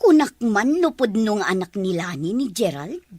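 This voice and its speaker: A woman's voice: medium pitch, very animated, normal speed, a little mellow, breathy edge, no room sound, clean studio sound. A woman acting a questioning middle-aged mother with a Filipino accent in an Ilocano audio drama. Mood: suspicious, startled.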